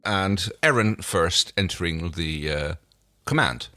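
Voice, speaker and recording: Low-voiced man chatting, dry close sound. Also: animated, slow, bright, forceful tone, dry recording. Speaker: podcast co-host. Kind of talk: casual recap chat about a TV show.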